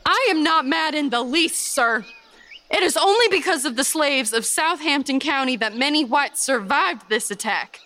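A woman playing an earnest, devout 1830s Virginia gentlewoman, giving formal, measured testimony in a historical drama. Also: sits high, expressive. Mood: firm, defiant. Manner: formal, measured storytelling, firm.

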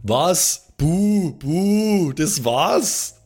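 A man's voice: on the high side, lively intonation, extremely slow, darkish tone, breathy edge, faint music behind. A man bantering casually and slangily as a player in a tabletop game.

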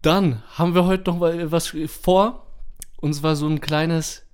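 A man hosting a podcast in casual chat, informal and often halting to correct himself mid-sentence. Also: fairly high pitch, steady tone, clear, resonant tone. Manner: informal, halting, self-correcting with repeated false starts. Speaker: podcast host.

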